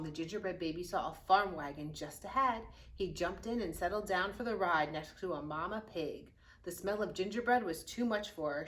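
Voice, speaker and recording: Female children's story reader: bright, mid-pitched, expressive and dramatic, like a library read-aloud. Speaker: youth services librarian reading aloud.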